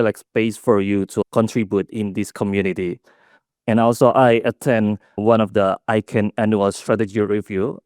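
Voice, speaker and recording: A young man from Indonesia gives a conference talk in a fairly low voice. He speaks in a halting way, with restarts and fillers, and the sound is dry and close with no room echo. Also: darkish tone. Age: young adult. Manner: halting, with self-corrections and fillers. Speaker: student presenter. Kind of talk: conference presentation.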